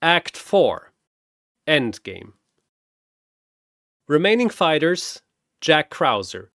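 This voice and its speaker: A man delivers dramatic scripted battle narration at a markedly slow pace. His voice sits at a middle pitch, theatrical with a wry edge. The sound is dry, with no room on it. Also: big pitch swings, a bit bright, dry recording.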